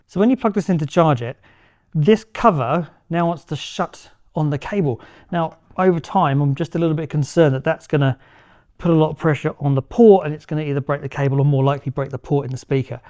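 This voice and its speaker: A man's voice: fairly high pitch, darkish tone. A man giving a casual, upbeat product review walkthrough as a tech reviewer.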